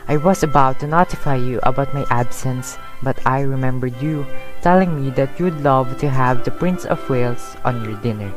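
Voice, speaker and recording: A teenage boy playing a courteous gentleman who reads out a formal letter as a voice-over in an amateur play. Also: medium pitch, normal speed, over music, natural voice, poor audio. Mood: polite, apologetic. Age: teens.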